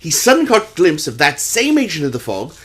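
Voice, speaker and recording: A man acts an earnest gentleman who is both sidekick and narrator of a radio mystery. His husky voice is a little high and moves in lively swings of pitch. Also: fast, exaggerated voice. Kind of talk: radio play acting and narration.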